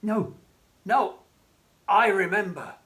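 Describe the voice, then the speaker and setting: An actor playing a reanimated creature in a radio play, childlike and tormented, speaking haltingly and repeating words with effort. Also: mid-range voice, lively intonation, a bit bright. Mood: anguished, insistent.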